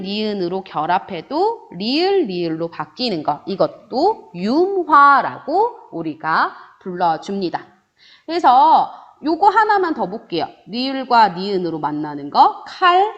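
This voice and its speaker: Woman teacher, online lesson, sing-song and lively, muffled lo-fi sound. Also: a little high, a little slow. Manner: lively, rising and falling teacherly sing-song, voices student questions herself. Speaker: Korean grammar teacher. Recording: dull lo-fi recording.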